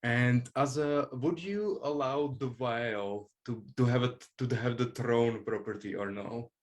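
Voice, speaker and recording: A man speaking casually and haltingly as a player in a tabletop game, working through his moves. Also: medium pitch.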